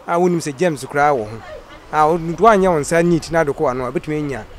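A man's voice: a little high, rapid-fire, a little hiss or hum. A middle-aged man answering a street interviewer, earnest, mixing Twi with English words. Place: outdoors by an office doorway. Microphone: handheld mic held by interviewer.

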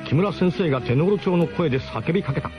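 A man's voice: a little high, animated, a little fast, lo-fi sound, over music, close to an everyday voice. A man playing a camper who narrates a comic radio drama in a grandiose, mock-solemn way with colloquial turns. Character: male camper grandly recounting his gang's ramshackle expedition.

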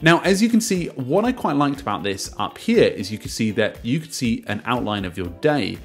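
A man narrates a software walkthrough in a casual, explanatory way, with a mid-range voice. He leans into the start of each sentence and lets the endings drop away, while music plays behind him. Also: mid-range voice, very animated, normal speed. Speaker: software reviewer and presenter. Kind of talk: casual narrated screen-share software review. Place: voice-over to a screen recording.

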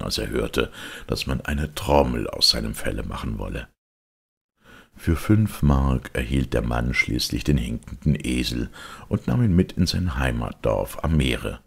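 A man narrating a children's storybook as an expressive audiobook reader. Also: rumbling low, gravelly, husky.

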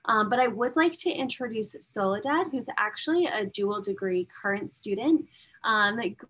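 A woman's voice: medium pitch, lively intonation, moderate pace, fairly bright tone, slightly rough, low-quality recording. A young woman hosting a virtual open house from a home room on a webcam, upbeat, with frequent ums. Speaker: event host. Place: home room with framed pictures. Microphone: laptop webcam mic.

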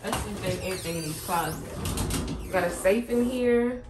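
A woman's voice: medium pitch, normal speed, heavy background noise. A woman in her twenties casually narrating her vlog as she goes along. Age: 20s. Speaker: vlogger. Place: indoors in condo rooms and inside a car. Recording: echoey rooms and car, music behind.

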